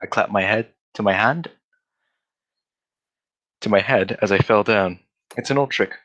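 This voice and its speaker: Man playing a shrewd gentleman detective, low bright voice, polite, assured, old-time radio drama. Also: a little slow, lo-fi sound. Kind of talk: 1940s radio drama acting.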